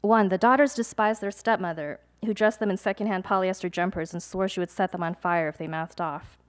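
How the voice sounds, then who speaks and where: A young adult woman, a poet, reading her poems aloud at a live event, measured and deliberate, with chatty, hesitant asides between pieces. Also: medium pitch, steady tone. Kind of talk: poetry reading with casual asides to an audience.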